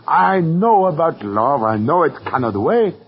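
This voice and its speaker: An actor playing an oily, wheedling Italian opera boss with a flowery manner, in 1940s radio style. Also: male voice, on the high side, very animated, measured pace, husky, faint music behind, exaggerated voice. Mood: smooth, cheerful. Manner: flowery, wheedling, falsely agreeable. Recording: muffled vintage radio recording.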